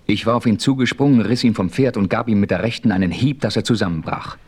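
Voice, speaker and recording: A man playing a calm, self-assured western hero who narrates his own adventure in a radio play. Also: lowish voice, quick pace, breathy edge. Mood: suspenseful.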